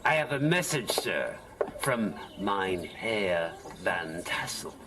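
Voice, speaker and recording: An actor playing a slow, deferential servant messenger in an audio drama. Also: male voice, low-pitched, big pitch swings, exaggerated voice.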